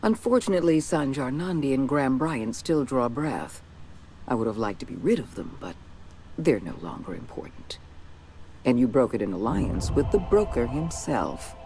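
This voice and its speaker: A man's voice: medium pitch, very animated, moderate pace, dark tone, a little hiss or hum. An actor playing a cold, formal male corporate official who speaks in a clipped, approving manner.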